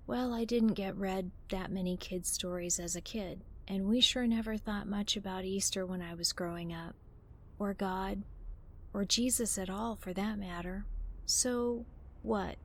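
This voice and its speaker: A woman's voice: medium pitch, measured pace, a little hiss or hum, natural voice. An actress playing a teasing, offhand young woman in an audio drama, speaking in a wry, informal, conversational way.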